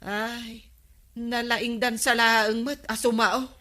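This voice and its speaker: A woman's voice: a little high, little pitch movement, slow, sharp tone. A woman acting a sensible, gossiping Filipino village wife who complains dryly in a comedy radio drama. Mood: disapproving.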